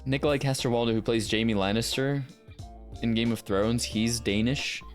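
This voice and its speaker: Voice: mid-range voice, moderate pace, faint music behind. A livestreamer and voice actor chatting casually and thinking aloud as they go.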